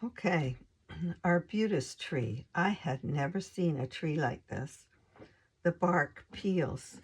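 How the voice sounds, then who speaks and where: A hoarse woman hosting a craft channel, casually showing her scrapbook pages in an unhurried, hesitant way with lots of ums. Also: deep voice.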